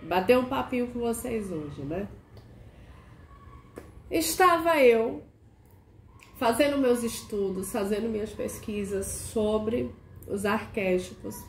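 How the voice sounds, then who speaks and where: A woman hosting a casual video chat. She sounds nasal and hoarse from a cold and keeps asking the viewer questions. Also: fairly high pitch, animated, slow pace, long pauses. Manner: chatty, asks the viewer many questions. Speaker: archetype consultant and channel host. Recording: echoey room with faint noise.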